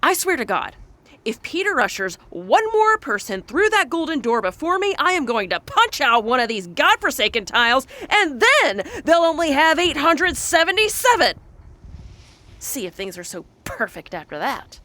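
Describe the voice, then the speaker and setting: A woman playing an impatient soul kept waiting too long, measured and dryly exasperated in an audio drama monologue. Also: sits high, very animated, bright, forceful tone, no echo, voice pushed up, exaggerated voice. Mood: irritated.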